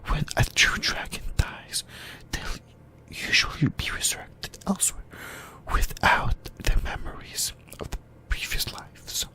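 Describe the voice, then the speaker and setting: A person whispering breathily in a halting ASMR explainer about anime lore, often repeating phrases. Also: bright, forceful tone. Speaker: YouTuber, ASMR host.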